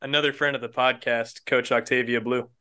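A young man co-hosting a podcast, dropping quick joking asides into casual chat. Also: mid-range voice, flat delivery, sharp tone, smooth. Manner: jokey interjections, quick asides. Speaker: podcast co-host.

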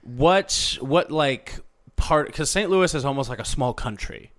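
Joking male podcast host, mid-pitched voice. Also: expressive, measured pace, a bit bright. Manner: joking, teasing, crude banter. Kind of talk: casual chat interview.

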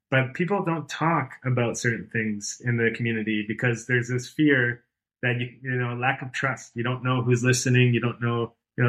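A man's voice: medium pitch, pushed and bright, poor audio. Man in his thirties, loose rambling talk. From born and raised outside Iran. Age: 30s. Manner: rambling, many fillers and restarts. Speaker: podcast guest. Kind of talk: casual conversational interview.

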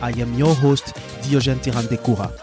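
A man's voice: mid-range voice, steady tone, fairly quick, music in the background. A man hosting an interview podcast. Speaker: podcast host.

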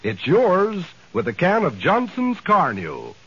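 Male American commercial announcer, 1940s salesman delivery, fairly high rough-edged voice, muffled old broadcast. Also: wildly swooping pitch, slow, fairly bright tone. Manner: salesmanlike, direct address. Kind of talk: 1940s radio sales pitch, scripted. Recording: dull vintage 1940s radio recording.

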